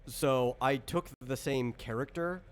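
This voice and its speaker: A man's voice: mid-range voice, a little slow. A man hosting a casual tabletop character creation chat as game designer, explaining things in a rambling, self-correcting way. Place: online voice call recorded on computer. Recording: clear background noise on voice chat.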